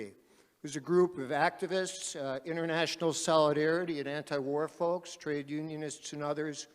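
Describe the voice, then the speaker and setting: A man moderating a panel at an activist conference, speaking loudly and calling out to the crowd like a rally speaker. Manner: loud, booming, calls out to the crowd. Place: large conference hall. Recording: reverberant hall.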